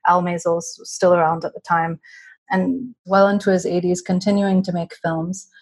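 A woman in her thirties from California, a documentary filmmaker, answering interview questions in a relaxed, chatty way over a remote connection. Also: on the low side, moderate pace, husky, low-quality recording. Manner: relaxed, chatty, with light laughs. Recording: remote call audio.

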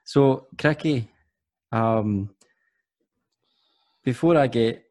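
A man's voice: mid-range voice, extremely slow, a little mellow. A man hosting a podcast interview, chatty and jokey, often restarting his sentences. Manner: rambling, self-correcting, jokey. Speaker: podcast host.

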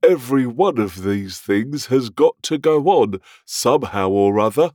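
A man plays a solemn, fussy little man from a storybook. He talks slowly and earnestly, his pitch rising and falling with grave importance. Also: lively intonation, darkish tone, no room sound, studio quality.

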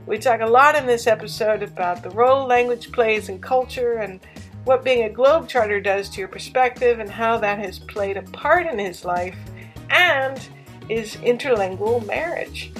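A woman's voice: fairly high pitch, moderate pace, faint music behind. A woman hosting a podcast, introducing guests and chatting with bubbly enthusiasm. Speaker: podcast host. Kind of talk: podcast interview.

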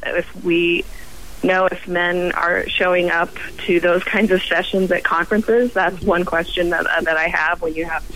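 A woman scientist guest on a radio roundtable, croaky from a cold, speaking haltingly with many pauses and restarts. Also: on the low side, fairly even intonation, fairly bright tone, slight background noise, low-quality recording.

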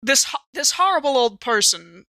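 Bright-voiced woman playing a tabletop game. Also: sits high, big pitch swings, moderate pace, dry recording. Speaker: player in an actual play podcast. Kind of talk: casual in-character improvised dialogue.

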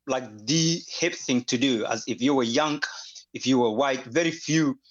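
A man's voice: mid-range voice, unhurried. A man from Somalia, with Somali as his first language, speaking as an interview guest in a hesitant way full of fillers and restarts. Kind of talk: conversational interview. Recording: dull lo-fi audio.

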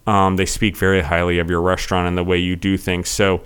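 Young male podcast host, low and steady, chatty and rambling. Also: brisk, smooth. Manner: chatty, rambling with many fillers. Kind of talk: casual interview.

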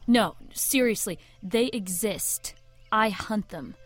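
Faint music, actress as young woman vampire hunter, medium pitch, earnest, hesitant, casual, amateur radio drama. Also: takes it slowly. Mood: earnest, insistent.

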